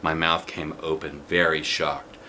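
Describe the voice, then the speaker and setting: A man reading a children's storybook aloud in an expressive, theatrical narrating style. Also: a bass voice, unhurried, slight background noise. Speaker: storybook reader.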